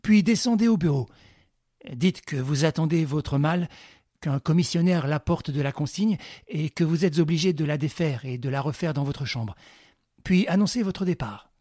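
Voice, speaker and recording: A man voicing a cunning gentleman thief who gives orders briskly and with dry irony. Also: a little high, no room sound, close to an everyday voice. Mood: commanding. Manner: brisk, commanding, ironic.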